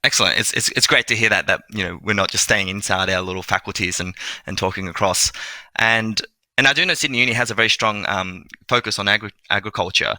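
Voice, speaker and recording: A man's voice: on the low side, fast, sharp tone, dry recording. A man moderating a panel discussion in an encouraging, conversational way, putting questions to guests.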